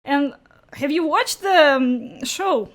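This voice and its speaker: A woman's voice: sits high, expressive, slow. A young woman working as an interviewer, chatting casually, with tentative questions and small listening responses. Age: young adult.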